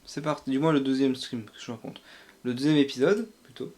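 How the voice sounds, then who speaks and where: A man with a French accent hosting a casual stream, talking in a relaxed, hesitant way. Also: medium pitch, a little fast. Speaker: streamer host.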